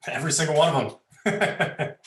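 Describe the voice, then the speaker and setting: An actor playing a laid-back young drinker, lazy and hesitant, in a dramatic script reading with echoey sound. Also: male voice, a little high, animated, slow. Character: laid-back beer-drinking young man chatting up girls. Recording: roomy, echoing livestream sound.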